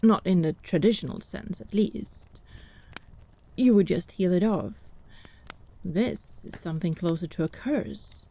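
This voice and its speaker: A woman's voice: fairly low pitch, measured pace, warm tone. A woman playing a haughty, teasing country healer in a fantasy audio drama, arch and inquisitive. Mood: probing, thoughtful.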